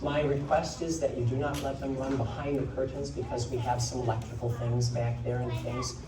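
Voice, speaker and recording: A man directing a school play gives a rambling thank-you speech over a microphone in a school auditorium, calling names out loudly. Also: medium pitch, little pitch movement, fairly quick, noisy background. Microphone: handheld microphone through PA, recorded from the audience. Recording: echoey hall from far off with crowd.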